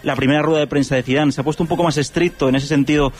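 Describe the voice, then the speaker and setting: Man, rapid talker, slightly high voice, reporter, guarded. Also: steady tone, darkish tone, a little hiss or hum. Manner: quick, hedging, reticent about private matters. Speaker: football correspondent. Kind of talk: casual radio chat.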